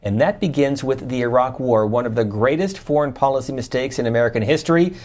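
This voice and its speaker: Measured male TV host. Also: mid-range voice, darkish tone. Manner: measured, reads statements aloud. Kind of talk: TV interview and call-in hosting.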